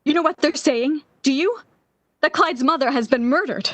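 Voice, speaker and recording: A woman playing a distraught, obsessive lovesick woman in a 1940s-style radio drama, with an intense, halting, theatrical delivery. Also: sits high, fairly bright tone, no echo. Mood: anxious.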